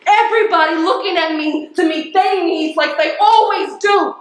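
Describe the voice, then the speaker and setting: A woman's voice: very high voice, normal speed. An actress on stage playing a defiant, embittered working-class woman postal carrier from the projects, speaking in an emphatic, confrontational, colloquial way. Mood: hurt, bitter. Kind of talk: stage play acting. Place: theatre stage. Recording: very echoey stage recording.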